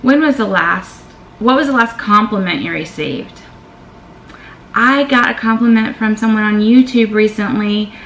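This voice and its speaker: A woman's voice: medium pitch, a bit bright, echoey room, a little hiss or hum, poor audio. A woman, chatting candidly as she reads out questions and answers them in a vlog. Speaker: vlogger. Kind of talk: casual Q&A vlog.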